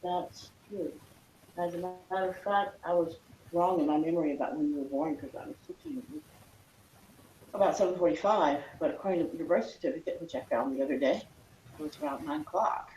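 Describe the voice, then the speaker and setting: An echoey room with faint noise surrounds an older speaker with a dark, soft-edged voice. The talk is casual and conversational. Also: mid-range voice, expressive, brisk. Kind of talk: casual chat.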